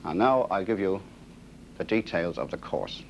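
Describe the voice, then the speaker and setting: A man's voice: sits low, very animated, normal speed, creaky. An Irish man giving a formal prepared address to trainees as a driving instructor, on a 1960s film recording. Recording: dull 1960s film sound, noisy.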